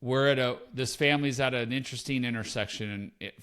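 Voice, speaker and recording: A man's voice: mid-range voice, a little fast, a bit bright, smooth. A man in his fifties co-hosting a podcast, talking in a reflective, rambling way with many restarts. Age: 50s. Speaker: podcast co-host, parent. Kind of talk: casual chat.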